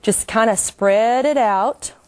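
A woman's voice: on the high side, slow, dry recording. A middle-aged woman hosting a cooking show, giving homey, enthusiastic step-by-step instructions while she cooks. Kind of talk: cooking demonstration.

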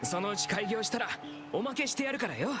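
A man playing an easygoing young food stall keeper in an anime game, with rough, familiar, casual speech. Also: sits high, big pitch swings, quick pace, music in the background. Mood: fond, easygoing.

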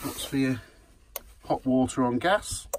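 A man's voice: mid-range voice, a little slow, a little hiss or hum. A man giving an upbeat, chatty walkthrough of a small camper van, filming on a handheld phone. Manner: chatty, upbeat sales patter. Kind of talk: handheld walkaround video tour. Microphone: phone camera held in hand.

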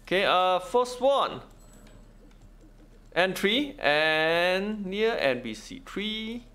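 A man giving casual game walkthrough commentary, thinking aloud in halting, non-native English. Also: high-pitched, sing-song, pushed and bright, smooth. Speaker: gaming video host.

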